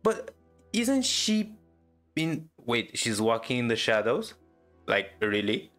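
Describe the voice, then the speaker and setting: A man's voice: mid-range voice, very animated, slow, a bit bright, soft background music. A man reacting casually as he plays through a video game, commenting as a gaming YouTuber.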